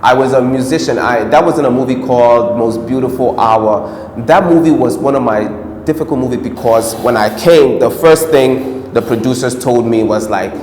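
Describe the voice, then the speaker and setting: An American-accented man talks through his acting work in a loose, rambling way, full of fillers and asides. His voice sits in a mid pitch. The room is echoey with a long reverb tail and a faint hiss behind it. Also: moderate pace, darkish tone. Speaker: film actor. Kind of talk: casual interview answers.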